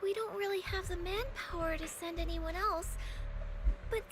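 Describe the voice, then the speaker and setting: A woman's voice: very high-pitched, moderate pace, noisy background. An actress playing a protective young female martial arts disciple in a game. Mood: worried. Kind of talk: game voice acting.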